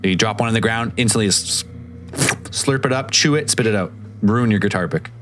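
A man with a fairly low voice hosts a late-night call-in show. He sounds relaxed and jokey, with faint music running behind. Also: moderate pace. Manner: laid-back, joking. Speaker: host. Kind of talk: casual call-in chat.

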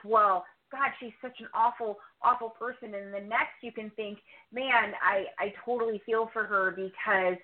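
A woman with a mid-range voice talks reflectively and emphatically, repeating words to press her point. She sounds like a podcaster in conversation, recorded with a dull, band-limited sound. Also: mid-range voice. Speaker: true crime podcast host being interviewed as a guest. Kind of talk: conversational commentary. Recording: band-limited, muffled call audio.

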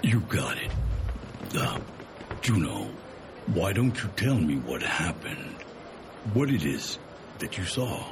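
An actor playing a gruff, kindly, folksy man in a science fiction audio drama, with a gravelly, reassuring delivery. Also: sits low, very animated, slow pace, pauses often, background noise, full character voice. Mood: gentle, reassuring. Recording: music under the voice.

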